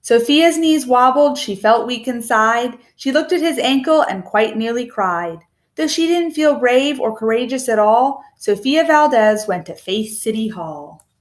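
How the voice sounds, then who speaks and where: A woman reads a children's picture book aloud expressively, with a measured, rhythmic delivery of rhyming verse. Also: on the high side, lively intonation.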